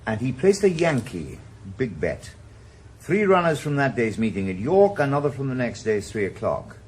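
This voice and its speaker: A man's voice: medium pitch, sing-song, normal speed, darkish tone, husky. An actor playing a weary retired policeman turned private eye in a radio drama, dry and laconic. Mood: probing. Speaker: private investigator. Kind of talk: 1980s radio drama acting.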